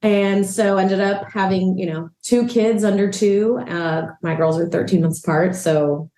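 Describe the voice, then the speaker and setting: A woman from El Salvador, a real estate agent, talking in a relaxed, chatty interview with lots of "um" and "you know". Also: mid-range voice, unhurried, echoey room. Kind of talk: casual interview chat. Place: a rental apartment.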